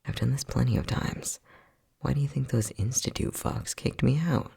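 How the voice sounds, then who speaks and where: A man acting as an unhinged, playful and casually cruel scientist in an ASMR roleplay, flippant and sardonic, with a husky voice that often drops near a whisper. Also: medium pitch, moderate pace, mellow, full character voice. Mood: defiant, sardonic. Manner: flippant, sardonic, mock-soothing, muttering.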